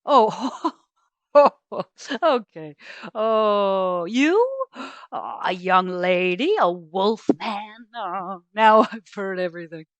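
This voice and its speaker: A woman's voice: medium pitch, animated, very slow, a bit bright, no echo. A woman voicing a patronizing, flippant male doctor in a storybook, hemming and hawing as she talks. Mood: patronizing, amused. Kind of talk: storybook character voice.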